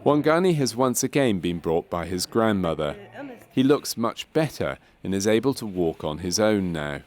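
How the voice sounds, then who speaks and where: Male narrator for medical training film: lower register, measured, clinical, scripted. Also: sing-song, darkish tone.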